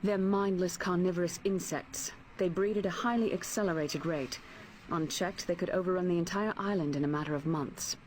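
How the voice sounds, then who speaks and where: A woman voices a grave mutant heroine in a game cutscene. She sounds formal and knowing, slightly low in pitch, with faint noise behind her. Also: moderate pace, natural voice. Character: serious, knowledgeable female mutant heroine. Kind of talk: video game cutscene voice acting.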